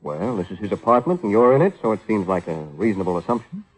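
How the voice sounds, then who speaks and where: An actor playing a wry, hard-boiled private detective who asks dry, clipped questions in an old radio drama. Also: male voice, on the low side, very animated, quick pace, slightly rough, soft background music, poor audio. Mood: wry, cool. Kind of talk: 1950s radio detective acting.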